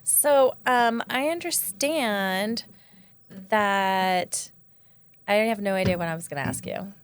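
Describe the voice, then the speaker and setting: A woman's voice: medium pitch, slow pace, clear, resonant tone. A woman co-hosting a podcast, asking questions and reflecting on her own life in casual conversation. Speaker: podcast co-host.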